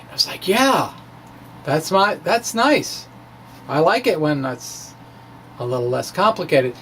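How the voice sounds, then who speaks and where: Informal amateur science explainer, conversational, stop-start delivery, faintly noisy recording. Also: medium pitch. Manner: halting, self-interrupting, conversational. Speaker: amateur physicist explaining own work. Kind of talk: informal off-the-cuff physics explanation.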